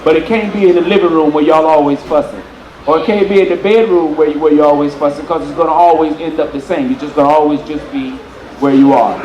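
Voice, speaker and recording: A man giving unscripted relationship advice, explaining deliberately and repeating phrases as he works through his point. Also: on the high side, darkish tone, dull audio. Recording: roomy echo with faint noise.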